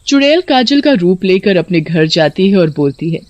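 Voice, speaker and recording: A woman's voice: on the low side, sing-song, moderate pace, a little mellow, no echo, muffled recording. A woman narrator reading a scripted story.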